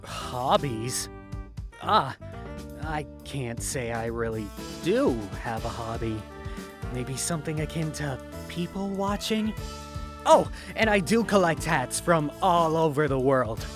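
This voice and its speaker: A man voicing a suave, laid-back French seventeen-year-old talent scout in an audio drama interview, calm and confident. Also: a little high, takes it slowly, music in the background, voice pushed up. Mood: smooth, amused. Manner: calm, confident, silver-tongued.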